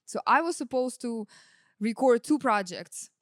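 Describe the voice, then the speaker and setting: Casting: female podcast guest, thirties, Russian native speaker. Fairly high pitch, dry recording, casual and self-effacing conversational delivery. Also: animated, measured pace, dry recording. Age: 30s. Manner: informal, self-effacing, peppers talk with "like" and "you know". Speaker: podcast guest, sound engineer. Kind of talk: casual chat interview.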